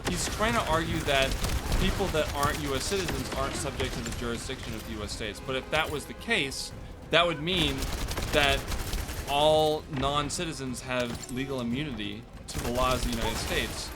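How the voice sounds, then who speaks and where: A man's voice: medium pitch, a little fast. A man chats casually in an opinionated, argumentative way, dropping swear words freely. His voice sits in very heavy noise from video game gunfire. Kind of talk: casual chat. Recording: very noisy, game gunfire behind.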